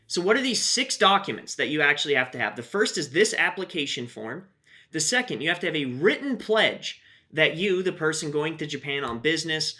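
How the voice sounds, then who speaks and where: Lively, bright-voiced man chatting wryly. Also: fairly high pitch. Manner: chatty, wry, frequent "uh" fillers. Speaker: YouTube host. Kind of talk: casual commentary on news.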